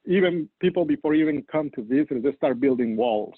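A man's voice: on the high side, fairly quick. Colombian-accented man on a tinny line. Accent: Colombian Spanish. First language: Spanish. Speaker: church campus leader. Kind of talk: podcast guest interview. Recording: dry tinny phone-like line.

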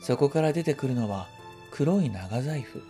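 A man reading a first-person literary story as scripted narration, in a quiet, reflective, measured manner. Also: mid-range voice, lively intonation, soft background music. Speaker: story reader.

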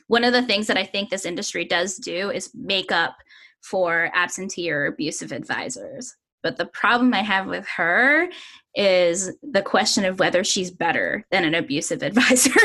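A woman's voice: fairly low pitch, bright, forceful tone. A woman gives a candid, blunt opinion in a conversational, storytelling way.

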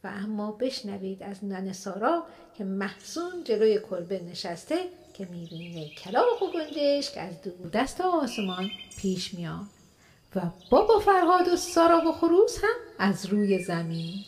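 A woman narrating a children's radio play in an expressive storybook style. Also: mid-range voice, a little hiss or hum, lightly acted. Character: storyteller of a children's fantasy tale.

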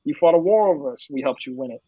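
A man's voice: on the high side, expressive, fast, husky, no echo, lo-fi sound. A man who writes comics, talking in a loose, casual way with lots of fillers. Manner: loose, filler-heavy, acts out voices with bursts of laughter. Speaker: comic book writer, guest. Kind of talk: casual conversational interview.

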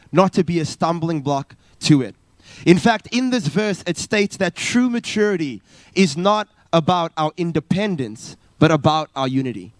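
A man in his thirties preaches from a church stage. His voice sits slightly high for a man and is captured close and dry, with no room sound. He speaks earnestly and often stops with an uh to restart a thought. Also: no room sound. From South Africa. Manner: earnest, many filler uh's and restarts. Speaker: youth pastor. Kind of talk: sermon. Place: church stage, live service.

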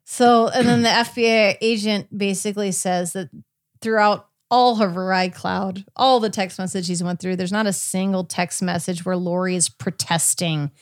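A woman hosting a podcast in casual chat, recounting a case informally with a halting, filler-heavy delivery. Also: medium pitch, fairly even intonation, dry recording, studio quality. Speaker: podcast host.

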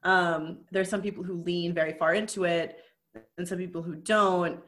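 Co-host, woman, lower voice, chatty and animated. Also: normal speed. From from an Indian family. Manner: chatty, laughing, animated storytelling. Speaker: podcast co-host. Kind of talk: casual chat.

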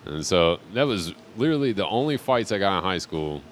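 A man's voice: sits low, very animated, a little fast, a little hiss or hum. A young man in casual podcast chat, laid-back and profane, rambling with restarts. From Pensacola area, Florida.

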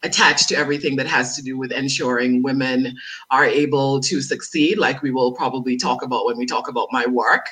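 A woman with a crisp, bright voice speaks as a guest in a relaxed interview. She talks in a lively way and laughs easily between phrases, like an actress chatting about her work. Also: extremely low pitch, brisk, low-quality recording. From Dominica by heritage, raised elsewhere. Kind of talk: casual interview chat.

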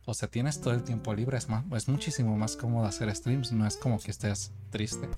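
A man with a mid-pitched voice speaks bluntly and matter-of-factly as a podcast guest. Guitar music plays clearly behind him. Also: fairly even intonation, brisk. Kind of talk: casual podcast chat.

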